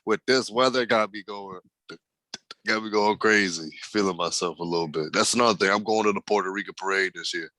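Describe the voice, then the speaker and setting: A man's voice: on the low side, fairly quick, bright, forceful tone, dry recording, rough recording. A man co-hosting a podcast, bantering in a hyped, slangy way. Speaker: podcast co-host. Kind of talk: casual chat.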